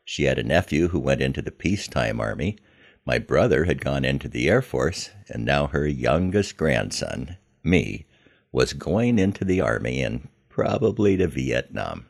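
Older american guy telling his life story, super deep n gravelly, measured and reflective. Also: very animated. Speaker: podcast host telling his memoir. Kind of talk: scripted first-person storytelling.

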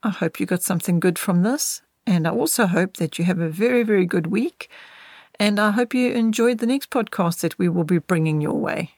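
A middle-aged woman hosting a podcast in a casual, conversational way, gently wishing listeners well and repeating phrases for emphasis. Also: medium pitch, fairly quick, no echo, studio quality. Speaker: podcast host.

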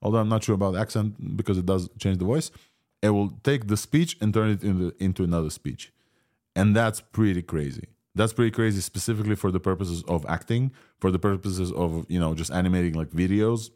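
Podcast host, man, Ukrainian Israeli accent, low pitch, rambling casual delivery full of fillers. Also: fairly quick, dark tone. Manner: rambling, many fillers and restarts. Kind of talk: casual tech podcast talk.

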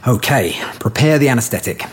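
An actor playing a friendly, concerned lab scientist in an audio drama, speaking a little tentatively. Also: male voice, medium pitch, lively intonation, brisk, slightly rough. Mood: easygoing.

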